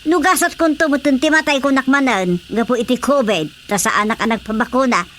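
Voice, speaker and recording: A woman's voice: high-pitched, brisk, fairly bright tone, slight background noise. A woman playing a stubborn, matter-of-fact villager in a radio drama, speaking with an Ilocano Filipino accent. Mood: resigned. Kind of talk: radio drama comedy acting.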